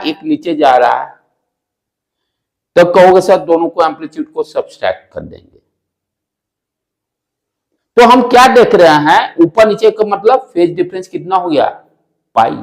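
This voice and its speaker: Indian guy giving a physics class lecture, voice a bit high, repeats key phrases, drops in english bits. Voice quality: loud voice. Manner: poses questions and answers them, repeats key phrases. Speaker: physics teacher.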